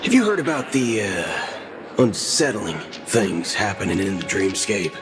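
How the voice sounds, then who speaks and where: A man's voice: fairly low pitch, big pitch swings, unhurried. An actor playing a wry, folksy man in a video game cutscene, putting on friendly hesitation, with music behind him. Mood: feigned friendliness.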